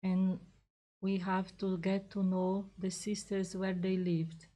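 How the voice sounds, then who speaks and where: A woman from Brazil, a visiting nun, answering interview questions in careful, halting English. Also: mid-range voice, monotone, warm tone.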